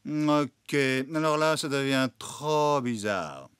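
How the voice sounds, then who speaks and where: A man's voice: mid-range voice, big pitch swings, slow, very noisy. A man voicing a smug, condescending pet dog with a theatrical manner in a children's cartoon. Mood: disdainful. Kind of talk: animated children's cartoon dubbing.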